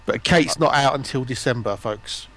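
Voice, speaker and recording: A man with a medium-pitched voice chats as a guest on a gaming livestream. His delivery is casual, conversational banter. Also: moderate pace, fairly bright tone.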